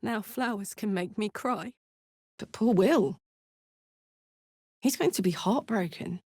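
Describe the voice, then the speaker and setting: A woman's voice: medium pitch, slow pace, a little mellow, breathy edge, no room sound, studio quality. A woman voicing a brash, hot-blooded, big-hearted warrior woman in a video game, with an earthy, blunt, sweary and emotionally open manner. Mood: tender, earnest. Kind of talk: video game character voice lines.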